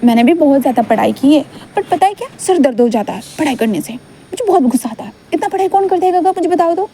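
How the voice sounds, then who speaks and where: A woman's voice: high voice, animated, fast, dark tone, slight background noise, voice pushed up. A woman voicing a childlike, clingy young man who talks in a fussy, whining, pleading way. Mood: curious.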